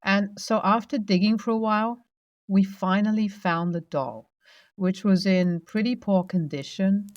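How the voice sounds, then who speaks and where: A young adult woman narrating her own true paranormal story in a steady first-person telling, with occasional fillers. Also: mid-range voice, unhurried, no room sound, highs cut off.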